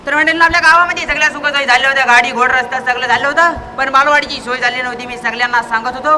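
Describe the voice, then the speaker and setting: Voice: high voice, steady tone, rapid-fire, sharp tone, slight background noise. A performer playing an earnest village speechmaker, reciting a rehearsed oration in a live school stage comedy. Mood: insistent. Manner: oratorical, recited, addressing the villagers. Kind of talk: declamatory school stage comedy acting in Marathi.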